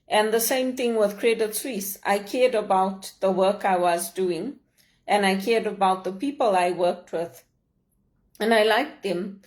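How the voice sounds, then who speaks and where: Middle-aged woman talking things through, earnest and measured, mid-pitched voice. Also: a little mellow. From Zimbabwe. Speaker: leadership vlogger. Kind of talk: personal reflective monologue.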